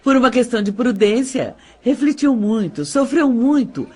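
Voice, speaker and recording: A man playing a condescending, reproachful stepmother with a husky voice in an audio drama, insistent and dramatic. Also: very high voice, lively intonation, normal speed, a little mellow, pitched above the performer's own voice, heavily acted. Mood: grave, condescending. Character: married stepmother, reproachful, falsely solicitous, lecturing.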